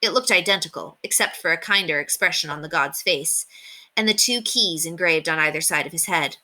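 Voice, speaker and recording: A woman reading a children's story aloud as an expressive storyteller. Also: low voice, fairly quick.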